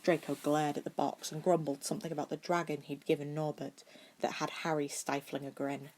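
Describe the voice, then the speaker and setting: A woman with a slightly low voice reads a scripted story aloud. She is an amateur narrator, reading expressively and now and then tripping over a word. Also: little pitch movement, brisk, darkish tone. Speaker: amateur audiobook reader. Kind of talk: scripted fan fiction narration.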